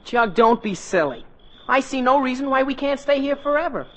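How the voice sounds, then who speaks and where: A man's voice: very high-pitched, expressive, normal speed, a bit bright. An actor playing a cheery, stubborn American teenage boy, eager and boastful, in 1940s radio comedy style. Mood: cheerful, stubborn. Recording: noisy old radio recording.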